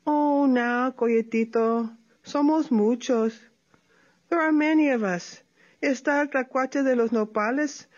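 A sly, sweet-talking male opossum, put on by a woman storyteller, chirps along in a high, bright voice. He coaxes and flatters like a storybook trickster, mixing Spanish with English. The recording is dull and lo-fi. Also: unhurried. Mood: coaxing.

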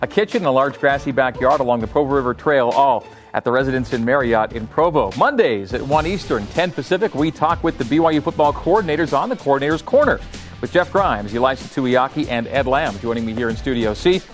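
A man's voice: medium pitch, animated, brisk, soft background music. A man hosting a sports broadcast, reading promos and introductions in a polished way. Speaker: sports show host.

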